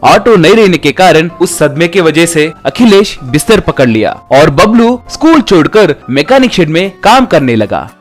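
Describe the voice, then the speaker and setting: A man's voice: on the high side, very animated, normal speed, hardly any pauses, faint music behind. A man reading a scripted moral tale as a storytelling narrator.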